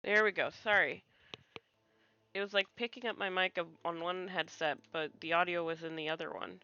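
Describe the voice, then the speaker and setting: A woman's voice: fairly low pitch, fairly even intonation, normal speed, sharp tone, clean tone, dull audio. A woman streamer who just woke up, chatting casually over gameplay on a headset, apologetic and trailing off. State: just woken up.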